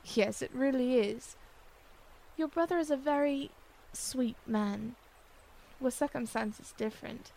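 A woman playing a loyal young blacksmith in an audio drama, calm and reassuring in manner. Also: sits high, slow pace, vocal fry, pauses often, slight background noise, exaggerated voice, low-quality recording. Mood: resigned.

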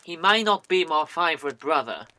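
A man's voice: a little high, expressive, moderate pace, sharp tone, dry recording. A man playing a jealous, sardonic young nobleman in an audio drama, formal and dryly sarcastic.

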